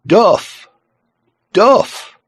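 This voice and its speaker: Guy doing a polite detective inspector for a storybook, husky, businesslike, really varied pitch. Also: very animated, moderate pace, dry recording, full character voice. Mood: shouting. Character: steady, courteous male Scotland Yard police inspector.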